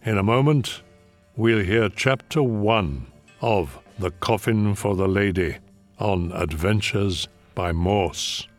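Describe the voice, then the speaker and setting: A man introducing old radio shows as host, measured and formal. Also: on the low side, faint music behind.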